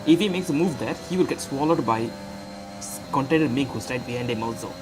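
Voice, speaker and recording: Hoarse male esports race caller, excitable, game audio and music underneath. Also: mid-range voice, brisk, rough recording. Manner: excitable, blunt, talks over co-host. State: hoarse, losing his voice. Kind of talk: live sim-racing commentary. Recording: game sounds and music behind. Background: racing game engine noise and music.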